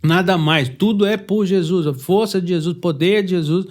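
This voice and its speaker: Male Brazilian podcast host, high voice, lively and chatty. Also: moderate pace. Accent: Brazilian Portuguese. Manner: chatty, animated, laughs easily. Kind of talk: casual chat.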